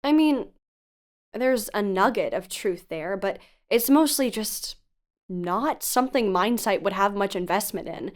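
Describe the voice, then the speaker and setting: A woman's voice: medium pitch, expressive, measured pace, close to an everyday voice. Earnest, analytical woman investigator played by an actress. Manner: articulate, reasoning, earnest. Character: cautious, analytical female researcher and investigative podcaster. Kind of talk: audio drama acting, dialogue and narration.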